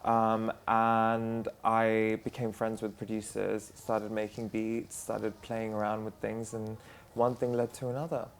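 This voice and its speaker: A young man talks casually in a conversation. His voice stays in a fairly flat, narrow pitch band. He fills his sentences with "like" and "um". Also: measured pace, slight background noise. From South London, England. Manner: chatty, full of "like" and "um". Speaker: musician guest.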